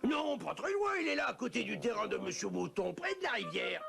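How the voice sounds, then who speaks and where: A man's voice: sits high, very animated, fairly quick, creaky, exaggerated voice. A man voicing a sly, greedy big bad wolf in a French children's cartoon, theatrical and wheedling. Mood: wheedling, falsely friendly. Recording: loud music behind the voice.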